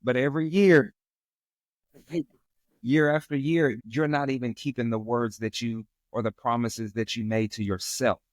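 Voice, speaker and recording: A man who is a guest on a podcast speaks with firm emphasis, hammering home his points like a preacher. His pitch is middling. The sound is close and dry. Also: steady tone, unhurried, no room sound. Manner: emphatic, preachy, repeats catchphrases. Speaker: guest, real estate investor. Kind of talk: casual podcast conversation.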